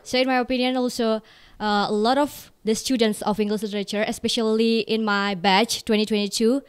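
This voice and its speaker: Young student host, friendly, smooth clear voice, a bit high. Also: female voice, flat delivery, a little fast. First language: Indonesian. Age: young adult. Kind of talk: casual radio interview.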